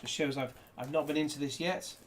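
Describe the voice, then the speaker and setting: A man's voice: fairly high pitch, fairly even intonation, fairly quick, slight background noise. A man chatting casually through a disc unboxing, rambling with jokey asides, recorded indoors on a desk camera. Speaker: disc reviewer.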